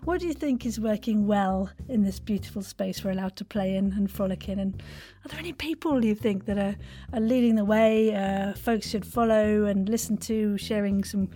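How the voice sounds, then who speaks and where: A woman's voice: medium pitch, moderate pace, few pauses, mellow. A woman hosting a podcast interview in an effusive, playful and theatrical manner. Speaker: podcast host.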